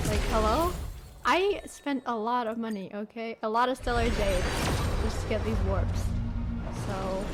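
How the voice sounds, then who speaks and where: A woman streamer giving casual gameplay commentary in a slangy, informal way. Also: on the high side. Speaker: gaming streamer.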